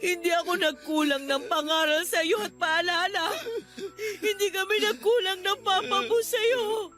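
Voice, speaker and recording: A woman's voice: extremely high pitch, steady tone, measured pace, music in the background. A woman acting as a grieving nanny in a radio drama, scolding bitterly and tearfully.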